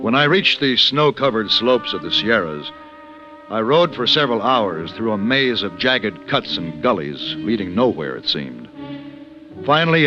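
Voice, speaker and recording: A man acting a refined, urbane gunfighter in a 1950s radio western, speaking in a dry, measured, articulate way. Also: medium pitch, highs cut off, close to an everyday voice. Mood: somber narrating. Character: refined, urbane adult male gunfighter of the Old West. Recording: band-limited vintage radio recording with music.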